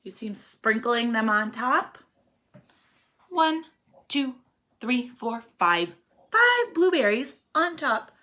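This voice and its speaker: Animated, bright-voiced woman reading to children. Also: on the high side, takes it slowly, pauses often. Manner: expressive, prompting young listeners with questions and counting along. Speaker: teacher reading a picture book aloud to children. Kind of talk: children's read-aloud storytelling.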